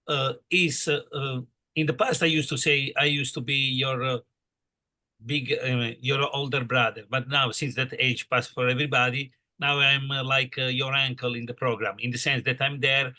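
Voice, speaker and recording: Italian-accented man, bright and halting. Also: medium pitch, level tone. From Italy. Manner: halting, many fillers, friendly. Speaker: on-site program coordinator. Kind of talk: casual info session talk.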